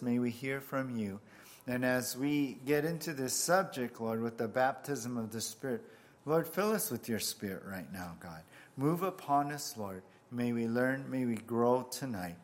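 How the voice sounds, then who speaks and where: A man whose first language was Japanese, a pastor opening with prayer and then giving a conversational, self-deprecating sermon full of restarts. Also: medium pitch.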